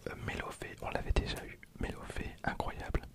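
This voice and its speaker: A man in his twenties whispering softly and informally, as in a casual ASMR video with hushed running commentary. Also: a little fast. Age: 20s.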